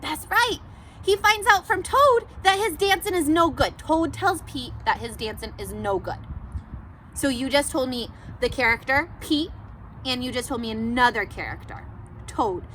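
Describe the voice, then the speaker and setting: A woman teacher doing an interactive read-aloud for children, animated and encouraging, asking questions and pausing for answers. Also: high voice, slight background noise, rough recording.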